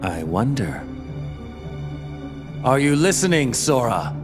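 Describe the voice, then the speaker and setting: A man voicing a cold, scheming villain in a video game, haughty, measured and theatrical. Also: mid-range voice, big pitch swings. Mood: sly, taunting. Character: cold, scheming male villain in a dark order. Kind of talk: dramatic video game voice acting. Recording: reverberant hall with game music.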